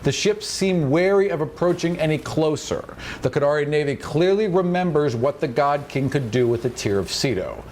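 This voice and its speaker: A man's voice: fairly high pitch, animated, normal speed, few pauses, a little mellow. Male game master with noisy background. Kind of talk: narrating a tabletop fantasy game.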